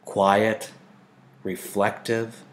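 Male narrator, lower register, expressive audiobook-style reading of fiction with deliberate phrasing. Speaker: teacher reading a novel aloud.

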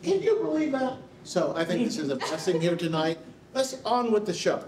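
A man's voice: high voice, very animated, moderate pace, a little mellow. An older man giving informal remarks to an audience with emphatic, exclamatory showmanship. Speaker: project leader giving remarks. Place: large concrete rehearsal hall. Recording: echoey hall, distant, noisy background.

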